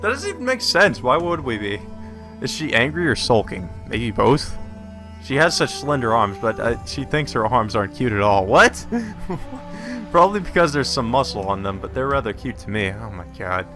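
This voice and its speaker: Bright-voiced man reading with irreverent asides. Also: medium pitch, sing-song, moderate pace, soft background music. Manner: irreverent, sweary, reacting incredulously to the script. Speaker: let's player reading a visual novel aloud. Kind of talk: casual commentary mixed with reading aloud.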